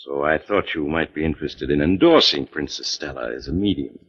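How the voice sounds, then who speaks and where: A man's voice: rumbling low, brisk, husky, exaggerated voice. A man acting in 1940s radio style as a glib, dandyish promoter with an ingratiating, scheming manner. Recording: dull vintage 1940s radio recording.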